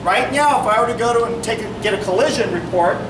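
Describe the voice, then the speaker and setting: A man, a police officer, explaining things to a community meeting, projecting his voice and quizzing the audience. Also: sits high. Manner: projecting, brisk, asks the audience questions. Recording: echoey room from far off, noisy.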